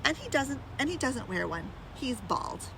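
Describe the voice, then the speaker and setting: Storybook magical nanny, played by a woman reader: higher register, brisk, crisp, no nonsense; noisy outdoor recording. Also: big pitch swings, full character voice. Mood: matter-of-fact. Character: brisk, matter-of-fact magical English nanny.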